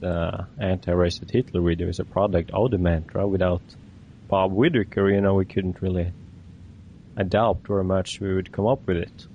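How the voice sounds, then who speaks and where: Halting man, deep gravelly voice. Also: mellow, slight background noise. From Norway. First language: Norwegian. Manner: halting, many "uh" and "you know" fillers. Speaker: guest creator of an animated video. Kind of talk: casual podcast chat.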